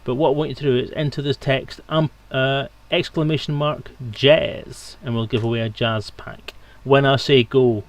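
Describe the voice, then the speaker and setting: A man's voice: medium pitch, fairly even intonation, normal speed, hardly any pauses. A man hosting a live stream and running a giveaway in casual, tongue-in-cheek, occasionally profane chat.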